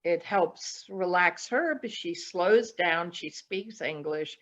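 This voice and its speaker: Slow-paced woman on a dull call. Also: on the low side, a bit bright. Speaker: podcast guest. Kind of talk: casual conversational storytelling. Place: video call. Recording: dull, lo-fi video call audio.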